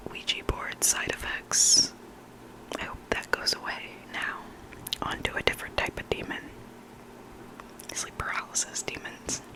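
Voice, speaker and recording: ASMR host for spooky story readings, fully whispered and breathy, intimate and deliberate delivery. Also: low-quality recording.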